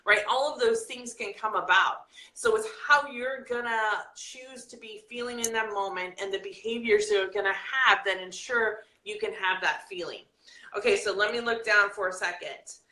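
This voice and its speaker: A woman with a bright, forceful voice coaches her viewers live on camera. She talks in a lively way and reads comments aloud, then slows into a soft guided breathing exercise. Her voice rings a little in an echoey room. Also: medium pitch. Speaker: life coach hosting a live video.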